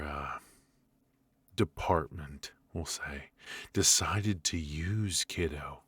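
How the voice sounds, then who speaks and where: Male mafia boss, acted by a man, extremely deep and husky, calm, controlling menace, intimate dry noir ASMR roleplay. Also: dry recording, heavily acted. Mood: cold, pointed. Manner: measured, mock-courteous, quietly threatening. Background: faint music.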